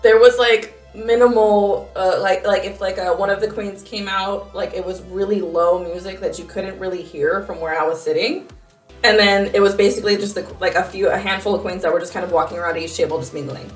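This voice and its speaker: A woman's voice: mid-range voice, fairly even intonation, fairly quick. Young woman chatting in an echoey room. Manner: slangy, laughs easily, banters. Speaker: podcast co-host. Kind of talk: casual chat, animated storytelling. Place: small home garage studio. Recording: roomy echo, faint music.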